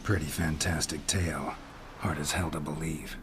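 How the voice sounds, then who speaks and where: A gruff monster hunter from a fantasy game, very low in pitch. He talks in terse, dry, sceptical remarks. Clear noise sits behind the voice. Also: fairly even intonation, normal speed. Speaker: game character, monster tracker. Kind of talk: dramatic video game acting.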